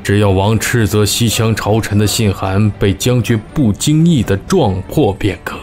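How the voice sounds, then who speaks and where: An actor playing a shrewd, deferential royal advisor in a costume audio drama, speaking in a measured, deliberate, scheming way. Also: male voice, fairly low pitch, a little mellow, faint music behind, lightly acted. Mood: sly, assured. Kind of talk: Chinese costume radio drama acting.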